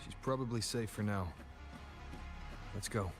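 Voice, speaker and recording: An actor playing a calm, focused young male adventurer in a video game, speaking in a measured, serious way. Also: lowish voice, natural voice. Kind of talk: video game voice acting with battle shouts. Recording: loud music over the voice. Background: loud game music.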